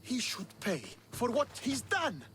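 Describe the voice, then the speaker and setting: An actor playing a bitter, proud young Spartan commander in a video game, speaking with intense, accusing menace. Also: male voice, very high voice, sing-song, takes it slowly, full character voice. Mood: shaken. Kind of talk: dramatic video game acting.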